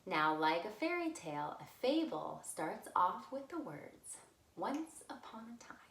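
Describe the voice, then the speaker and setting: Female children's storyteller, very expressive melodic swings, unhurried, recorded in a roomy space. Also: medium pitch. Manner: simple, deliberate phrasing addressed to small children. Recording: roomy, echoey room sound.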